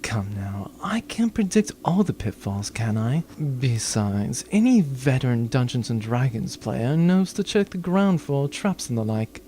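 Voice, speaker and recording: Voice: medium pitch, very animated, unhurried, few pauses, mellow. A performer playing a smug, urbane villain with a wry, condescending manner in a comedic sci-fi audio drama. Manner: wry, condescending, florid wording.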